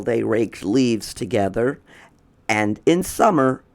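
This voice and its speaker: A man's voice: medium pitch, creaky. A man reading a children's storybook aloud as a storytime host. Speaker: storytime reader.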